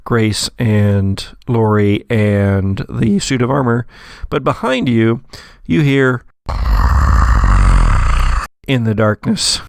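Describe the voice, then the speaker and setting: A man's voice: mid-range voice, animated, slow pace, gravelly, pauses often, clear, resonant tone, no room sound, clean studio sound. A man narrating a tabletop horror game as game master, in a descriptive storytelling manner.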